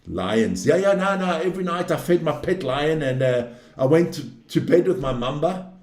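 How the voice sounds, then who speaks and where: A man's voice: on the high side, expressive, moderate pace, darkish tone. A man of around fifty, talking off the cuff on a sports livestream in a blunt, opinionated way, often swearing and tagging sentences with "man". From South Africa, later Switzerland. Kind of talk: casual off-the-cuff livestream talk with some race commentary. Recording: roomy, echoing room sound.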